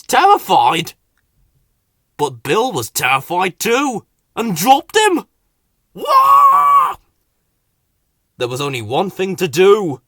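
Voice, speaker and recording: A man reading a children's story as narrator, in a dramatic, expressive scripted delivery. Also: mid-range voice, no echo, studio quality.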